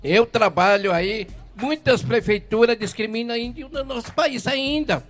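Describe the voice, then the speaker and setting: A man's voice: very high-pitched, a little slow, fairly bright tone, faint music behind. Earnest older man in his seventies. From Mato Grosso do Sul, Brazil. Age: 70s. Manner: earnest, rambling, blessing-heavy. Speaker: herbal medicine vendor. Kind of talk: emotional street testimony.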